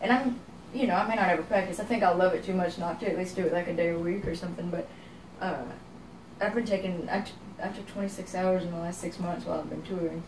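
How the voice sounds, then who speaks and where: A woman's voice: fairly low pitch, steady tone, quick pace, noisy background. A young woman from Texas with a Texan accent, a singer-songwriter talking reflectively in a casual interview and filling her speech with "you know.". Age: young adult. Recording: roomy, from a distance.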